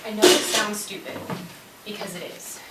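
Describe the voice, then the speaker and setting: A woman's voice: mid-range voice, fairly even intonation, normal speed, over loud music, natural voice. A woman playing a practical, exasperated peacemaker among zombie-outbreak survivors in an amateur comic stage play. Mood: reassuring. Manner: reasoning, bossy, exasperated. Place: indoor stage performance, recorded from afar. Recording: distant, roomy stage recording, loud noise.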